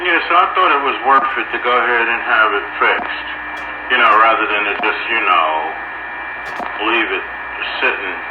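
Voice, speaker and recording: A man's voice: medium pitch, normal speed. A man talking informally over two-way radio, repeating words for emphasis. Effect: two-way radio transmission. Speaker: amateur radio operator. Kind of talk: casual radio chat. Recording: very noisy, echoey, muffled two-way radio.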